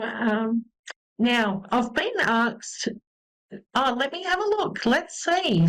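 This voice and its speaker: A woman's voice: a little high, clean tone, rough recording. A snuffly woman, giving a psychic reading to a caller in a rambling way with many ums. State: has a cold, snuffly. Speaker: psychic medium host. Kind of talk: live call-in reading.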